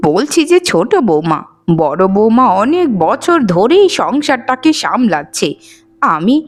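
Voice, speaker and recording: Anxious, pleading old mother-in-law. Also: mid-range voice. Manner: pleading, fretful. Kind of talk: Bengali cartoon voice acting.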